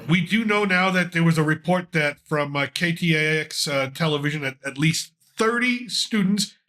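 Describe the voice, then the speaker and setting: Congested, bright-voiced male host. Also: on the high side, animated, normal speed. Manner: loose, rambling, with frequent uh fillers. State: congested from a cold. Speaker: podcast host. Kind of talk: casual news commentary.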